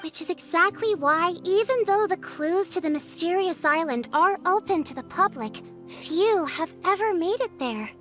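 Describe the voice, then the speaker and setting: A woman voicing a composed, astute young female chief in a video game, explaining things in a measured, authoritative way. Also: very high voice, expressive, a bit bright, soft background music. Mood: measured, explanatory.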